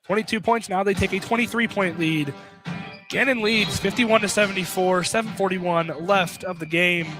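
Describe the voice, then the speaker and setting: A man's voice: sits high, normal speed, over music. A man doing live basketball play-by-play on the radio in short, clipped phrases. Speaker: play-by-play commentator. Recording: slight background noise.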